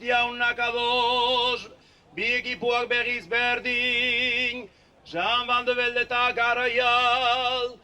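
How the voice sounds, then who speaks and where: A man formally announcing a match result in a slow, ceremonial way. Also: very high voice, flat delivery, pushed and bright, smooth, faint music behind.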